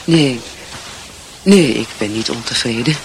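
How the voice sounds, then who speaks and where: A man's voice: medium pitch, measured pace, noisy background, lightly acted. An actor in a radio drama playing an earnest, dutiful wife and mother, hesitant, searching and sincere. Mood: wistful, confiding.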